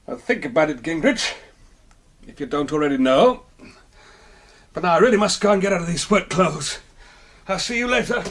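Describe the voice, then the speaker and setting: A man's voice: a little high, animated, normal speed, pauses often, a bit bright. An actor playing a shrewd, sardonic male sleuth in a radio drama, asking clipped, probing questions.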